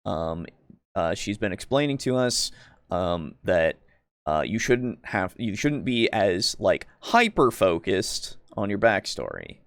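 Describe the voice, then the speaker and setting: A man with a clear, smooth voice recorded close and dry hosts a casual discussion. He speaks in a halting, wordy way, often pausing and circling back to qualify what he just said. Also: on the low side, sing-song, no room sound. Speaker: podcast host. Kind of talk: casual discussion, commenting on a video for a live stream.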